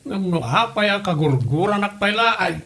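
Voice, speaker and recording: A man's voice: high voice, very animated, normal speed, sharp tone, full character voice. A man playing an aggrieved, plaintive elderly father with an Ilocano Filipino accent in a comedy audio drama. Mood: defensive, plaintive. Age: older. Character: aggrieved elderly father, fond of liquor, pleading innocence. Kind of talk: comedy radio drama acting.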